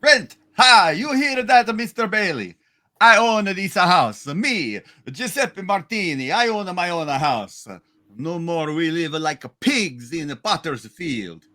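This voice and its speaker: A man voicing a proud, excitable immigrant homeowner in a hammed-up radio play reading, with some room noise. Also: high voice, takes it slowly, exaggerated voice. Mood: proud, excited.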